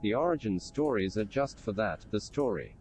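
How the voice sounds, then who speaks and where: Lowish synthetic male voice reading messages. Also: expressive, normal speed, darkish tone, slight background noise. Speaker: automated reader of stream chat messages. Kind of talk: text-to-speech message readout.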